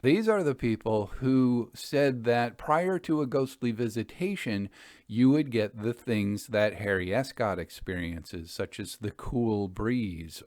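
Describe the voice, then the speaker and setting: A man hosting a story podcast, speaking in a measured, deliberate way as he reads and comments on literary tales. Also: fairly low pitch, a little mellow, no echo, clean studio sound. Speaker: host and story reader.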